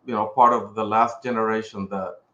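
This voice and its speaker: A man's voice: lowish voice, flat delivery, a little fast, fairly bright tone. A middle-aged man with a Puerto Rican accent talking casually as an interview guest. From Puerto Rico. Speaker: podcast guest, pharmaceutical executive. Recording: roomy echo, slightly dull sound.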